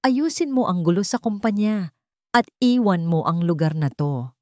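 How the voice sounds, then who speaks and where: A woman's voice: on the low side, expressive, normal speed, a little mellow, dry recording, clean studio sound, natural voice. A woman voicing a cold, threatening scorned fiancée in a story, commanding and taunting.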